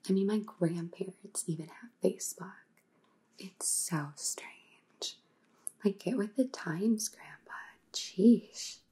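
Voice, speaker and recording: A woman's voice: mid-range voice, slow pace, mellow. A young woman playing a snide, modern vampire housemate in a comic ASMR roleplay, with a whispery, dismissive valley-girl drawl. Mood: scornful. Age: young adult. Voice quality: very breathy, whispery.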